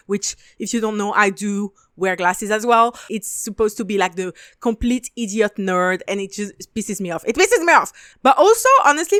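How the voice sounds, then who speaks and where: A young woman giving casual, opinionated commentary on a show, animated with lots of "like" fillers and emphatic repeats. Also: fairly high pitch, dry recording, studio quality. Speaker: video essay host reviewing a show.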